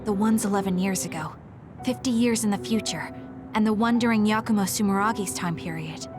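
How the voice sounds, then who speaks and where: A woman's voice: medium pitch, fairly quick, over music, close to an everyday voice. A woman playing an earnest young female soldier in a video game.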